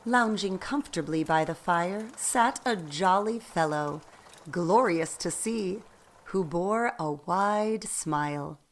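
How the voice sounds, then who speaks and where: A woman narrating a radio play in an expressive, deliberate storytelling manner, with faint noise in the background. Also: lowish voice.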